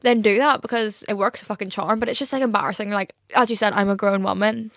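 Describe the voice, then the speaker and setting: A woman's voice: medium pitch, steady tone, fast talker, fairly bright tone, no room sound. A young woman hosting a podcast in a casual chat, swearing freely and laughing often. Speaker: podcast host.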